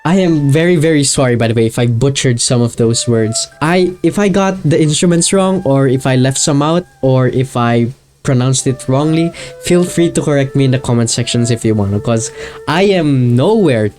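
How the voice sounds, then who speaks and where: A man's voice: mid-range voice, normal speed, darkish tone. A man narrating a video essay in a casual, enthusiastic and informal way. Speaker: video creator. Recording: music behind the voice. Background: music with guitar.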